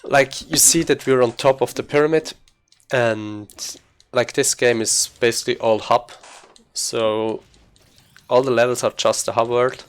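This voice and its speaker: A man giving casual live commentary on his own video game run, thinking aloud in a halting way. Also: medium pitch, steady tone, clean tone. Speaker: speedrunner commentating own gameplay.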